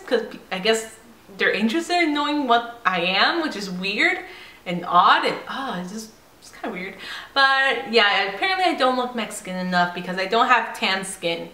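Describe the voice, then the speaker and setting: A woman of twenty-five from San Diego, talking casually to the camera at home in an animated, chatty way. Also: fairly high pitch, echoey room. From San Diego, United States. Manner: animated and chatty, laughing often. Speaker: vlogger. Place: indoor home room by a staircase.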